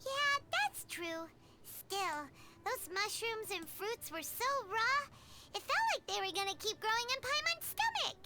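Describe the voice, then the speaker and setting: A woman's voice: very high-pitched, very animated, a little slow, pushed and bright, a little hiss or hum, heavily acted. A woman voicing a tiny, chatty, easily offended fairy companion in a video game. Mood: thoughtful. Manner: refers to self in third person, exclamatory.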